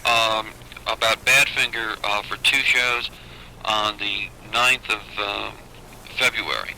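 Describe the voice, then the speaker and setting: Male booking agent, very sharp forceful tone, hesitant delivery, vintage phone recording with faint noise. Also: on the low side, slow pace, vocal fry. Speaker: booking agent on a business call. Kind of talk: business phone conversation. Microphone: telephone. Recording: noisy old taped phone call.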